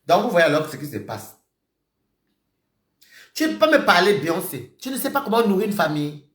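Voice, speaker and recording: A man ranting on a live stream, accusing someone directly. He repeats himself and swings between shouting and muttering. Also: high voice, sing-song, normal speed, reverberant. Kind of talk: heated live-stream rant.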